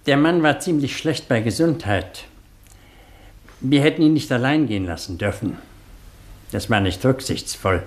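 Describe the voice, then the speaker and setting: An actor playing a shrewd police commissioner in a German radio play, clipped and authoritative. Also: male voice, mid-range voice, lively intonation, normal speed, long pauses, natural voice. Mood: probing, calculating. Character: shrewd, commanding male police commissioner questioning suspects.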